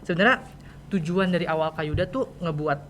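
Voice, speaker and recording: A man's voice: a little high, brisk, a bit bright. A young man with a Jakarta Indonesian accent hosting a casual, chatty interview and talking straight to his listeners. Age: teenager or young adult. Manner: chatty, informal, addressing listeners directly. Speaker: podcast host. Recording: clear background noise, room ambience.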